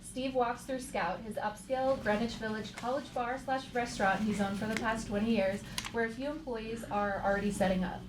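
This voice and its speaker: A woman with a fairly high voice reads stage directions for a live comedy table read. The room is echoey, with clear background noise. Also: steady tone, brisk, few pauses. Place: live theater.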